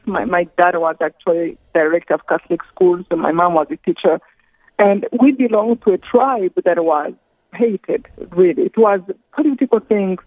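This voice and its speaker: A woman from Rwanda, speaking slowly and earnestly as a guest on a phone interview, often pausing mid-thought. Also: medium pitch, no room sound. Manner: slow, earnest, halting with careful pauses. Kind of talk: phone interview, personal testimony. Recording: tinny phone line.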